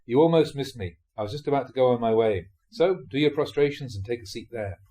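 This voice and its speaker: A man voicing a brisk, direct Buddhist meditation master giving instructions in a told tale. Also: mid-range voice, dark tone, natural voice. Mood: brisk approval.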